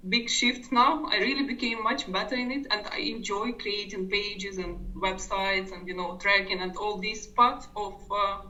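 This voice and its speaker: A woman's voice: medium pitch, bright, forceful tone, far from the mic, muffled recording. A woman with a non-native English accent, sharing her personal story sincerely as an interview guest and often restarting her sentences.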